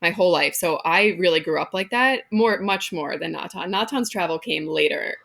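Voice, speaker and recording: Guest: woman in her thirties from Hong Kong, low voice with a gravelly edge, candid and matter-of-fact. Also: normal speed, fairly bright tone. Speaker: podcast guest, mother of twins. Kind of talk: casual interview chat.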